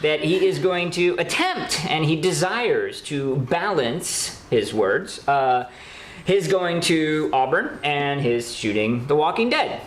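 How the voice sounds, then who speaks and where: A man chats through news as a show co-host. His delivery is animated, with pitch that moves around a lot, and he is playfully opinionated. The room adds echo, with faint noise underneath. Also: slow pace. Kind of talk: casual news chat.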